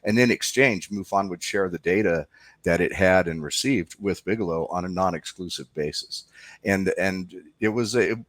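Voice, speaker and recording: A man's voice: sits low, normal speed, dry recording. A man speaking as an interview guest, explaining things informally in a conversational way.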